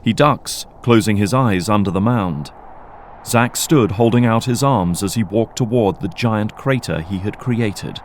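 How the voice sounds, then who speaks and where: A man's voice: on the low side, a little slow, slight background noise. A man giving scripted narration as the storyteller of an audio drama.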